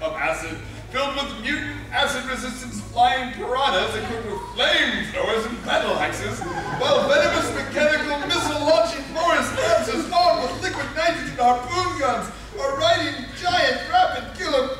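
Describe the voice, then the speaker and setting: Boastful gentleman hero, very high voice, grandiose, radio comedy, echoey distant recording. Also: lively intonation, normal speed. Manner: grandiose, declamatory. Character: bombastic, boastful, indestructible gentleman adventurer hero. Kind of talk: comic radio play acting.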